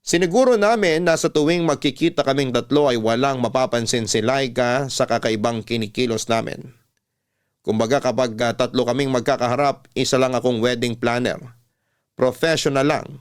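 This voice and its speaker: Male radio drama narrator, mid-pitched and clear, for sentimental first-person confessional stories. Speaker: storyteller host reading a listener's letter.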